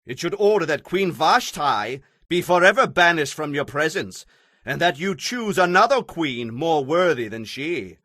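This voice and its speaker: A man's voice: a little high, sing-song, a little slow, sharp tone, no room sound, clean studio sound, full character voice. A man playing an indignant, self-important royal advisor in an audio Bible drama, with oratorical, emphatic delivery. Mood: formal urging.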